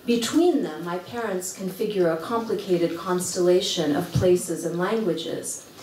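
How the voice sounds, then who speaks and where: A woman from Kuwait, an author reading a prepared talk at a library event in a measured, articulate way. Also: fairly low pitch, a little mellow, a little hiss or hum. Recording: echoey room, heard from far off.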